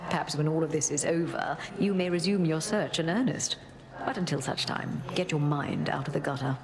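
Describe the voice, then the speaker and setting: A woman's voice: deep voice, fairly quick, noisy background. A woman playing a haughty, withering mature queen of the gods in a video game, regal, dry and cutting.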